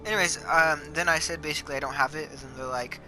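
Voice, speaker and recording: Higher-pitched young man rambling over music. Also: fairly even intonation, pushed and bright, poor audio. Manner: sweary, rambling, halting, giggly storyteller. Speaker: player chatting in a multiplayer game. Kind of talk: casual chat, storytelling while gaming. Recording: music behind the voice.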